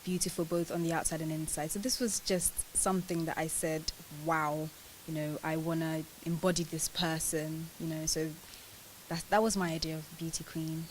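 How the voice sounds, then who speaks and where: A woman's voice: low-pitched, flat delivery, normal speed. A woman in her twenties from Ghana, chatting casually as she answers questions as an interview guest. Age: 20s. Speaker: interview guest, pageant winner. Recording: clearly hissy, noisy sound.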